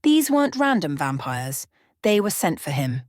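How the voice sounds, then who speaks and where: A woman storyteller with a fairly low voice reads scripted fiction for bedtime ASMR. The recording is dry, with very little room around her words. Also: sing-song, moderate pace, darkish tone, no room sound.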